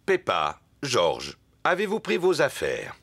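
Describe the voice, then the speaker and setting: A man's voice: medium pitch, wildly swooping pitch, slow, background noise, exaggerated voice. A man voicing a jolly, authoritative daddy pig in a French-dubbed preschool cartoon, with a proclaiming delivery. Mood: calm authority.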